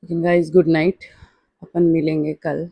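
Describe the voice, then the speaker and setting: Mellow, creaky-voiced woman narrating. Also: deep voice, level tone, moderate pace, no echo. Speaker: daily vlogger. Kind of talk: personal vlog voice-over narration.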